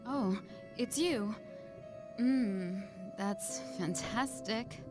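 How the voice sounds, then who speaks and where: Casting: troubled young woman, slow drawn-out delivery, vulnerable, game drama with music behind. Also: mid-range voice. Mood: dreamy, unhinged. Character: dazed, lovesick young woman under dark influence, then shaken. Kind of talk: video game cutscene acting.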